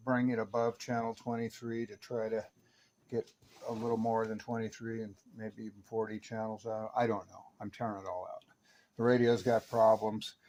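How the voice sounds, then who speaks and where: A man's voice: mid-range voice, a little mellow. Man narrating a repair, matter-of-fact. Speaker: radio repair hobbyist, video host. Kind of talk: informal narrated repair demonstration.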